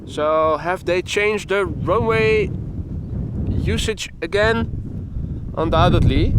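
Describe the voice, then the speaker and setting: A Dutch man hosts a live plane-spotting stream. His voice sits a little high, and his delivery is calm and explanatory as he talks viewers through each detail. Strong wind roars across the microphone the whole time. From Netherlands. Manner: calm, explanatory, chatty, reads out weather reports. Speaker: plane-spotting livestream host. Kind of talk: live commentary. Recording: loud wind buffeting the mic.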